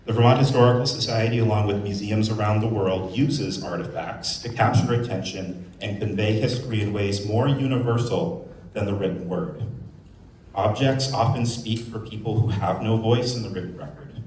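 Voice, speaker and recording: A man with a fairly low voice delivers opening remarks at a museum. His delivery is that of a staff speaker addressing a gathering, informal to measured. The room is very echoey, the microphone sits at some distance, and there is faint noise. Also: darkish tone. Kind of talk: public speech, part off-the-cuff, part scripted. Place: indoors in a museum.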